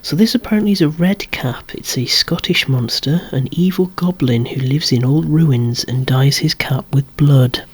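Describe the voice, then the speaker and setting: ASMR collector-presenter, androgynous voice. Whispered, hushed delivery at medium pitch, with gently wry asides. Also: normal speed, few pauses, husky. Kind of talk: whispered ASMR show-and-tell of collectible toys.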